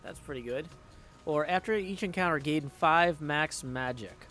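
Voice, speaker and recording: Male streamer, slightly high pitch, chatty gameplay commentary, thinking aloud, clear game music underneath. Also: measured pace, sharp tone. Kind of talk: casual live gameplay commentary. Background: video game music.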